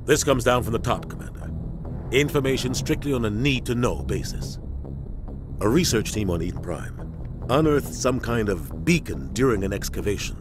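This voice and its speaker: An actor playing a steady, serious military starship captain in a video game, giving firm, authoritative briefings. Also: male voice, medium pitch, moderate pace, music in the background, natural voice. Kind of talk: video game cutscene acting.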